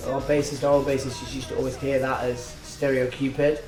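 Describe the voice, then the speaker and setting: A man's voice: mid-range voice, flat delivery. A man, a band frontman chatting casually and jokingly in an interview. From England. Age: 20s. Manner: chatty, jokey, quick asides. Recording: echoey room with music behind.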